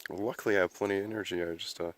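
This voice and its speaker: A man with a cold and a hoarse voice, narrating casually as he hikes through a remote mountain forest. He rambles, thinks aloud and clears his throat often. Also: sits low, steady tone, rapid-fire. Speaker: outdoor explorer filming a vlog. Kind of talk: casual narration while hiking.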